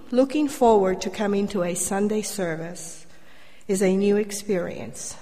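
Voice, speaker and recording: An older woman with a medium-pitched voice tells a prepared personal story as a church member. Her delivery is measured with wry humour, and it carries through a microphone into a reverberant hall. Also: a little mellow. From Colombia.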